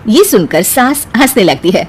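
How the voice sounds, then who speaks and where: A woman narrating a cartoon moral story in a lively storytelling manner. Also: mid-range voice, normal speed, breathy edge.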